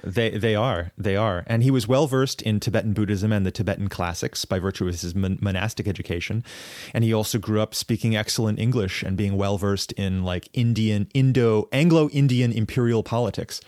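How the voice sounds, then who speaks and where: A man with a fairly low voice talks through a long historical explanation on a casual podcast. He is thoughtful and halting, restarting phrases as he works out his thoughts. The sound is dry and close, with no room echo. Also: fairly even intonation, a little mellow. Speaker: podcast co-host.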